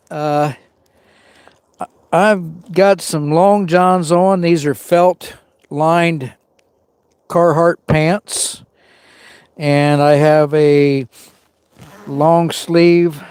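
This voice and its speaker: A man's voice: on the high side, darkish tone, clean tone, no echo. A man hosting a camping livestream outdoors in the cold woods, sniffling as he chats with viewers and greets them by name. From Arizona. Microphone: small mic worn on him.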